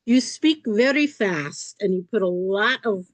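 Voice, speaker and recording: A woman asking a careful, measured question at an online event. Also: medium pitch, expressive, no room sound. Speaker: audience member asking a question. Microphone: video call.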